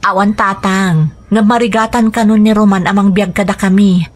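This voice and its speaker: A woman's voice: medium pitch, steady tone, moderate pace, natural voice. A woman with an Ilocano accent playing an apologetic, submissive daughter in a radio drama, with music underneath. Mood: apologetic, resigned.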